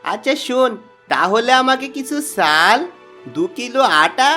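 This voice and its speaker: A man playing a sly, greedy, boastful village swindler in a cartoon moral story, with an animated, coaxing manner. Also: very high voice, unhurried, exaggerated voice. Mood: coaxing flattery. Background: background music.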